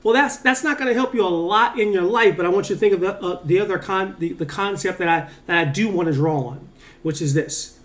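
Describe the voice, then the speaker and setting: Guy coaching folks on mindset, pretty high voice, earnest and repeats himself, stretches the last words out slow. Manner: earnest, repetitive, emphatic with drawn-out slow endings; energetic, rising on key points. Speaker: mindset coach and motivational speaker. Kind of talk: casual motivational talk to camera.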